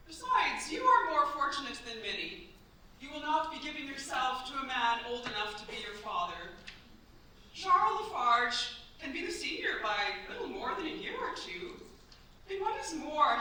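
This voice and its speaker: An actor playing a stern, persuasive older French aristocratic aunt in a staged radio play, in a formal, imperious, theatrical manner. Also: female voice, high voice, pushed and bright, heavily acted. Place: staged performance in a cultural centre. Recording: very echoey hall, distant mics, faint hiss.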